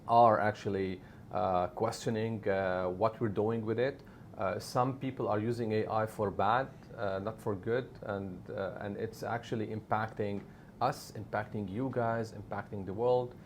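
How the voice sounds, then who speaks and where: A man's voice: on the low side, steady tone, normal speed, slight background noise. A middle-aged man whose first language is Arabic, answering interview questions in an emphatic way and often adding "right?" to his points. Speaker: media executive guest.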